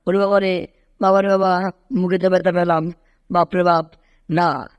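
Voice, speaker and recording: An excitable, boastful tomcat from a dubbed cartoon, voiced by a man. He whines and exclaims like a would-be kung fu master, recorded close and dry. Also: high voice, moderate pace, clear, resonant tone, no echo, dull audio, close to an everyday voice. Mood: pained. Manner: animated, whining, exclaiming, shouting kung fu cries. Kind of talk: amateur cartoon dub in Bengali.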